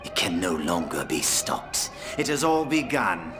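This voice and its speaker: A cold video game villain, full of contempt. The voice is very low but moves with lively, mocking swings, over clear background noise. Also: male voice, measured pace. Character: cold, contemptuous villain indifferent to a planet's fate.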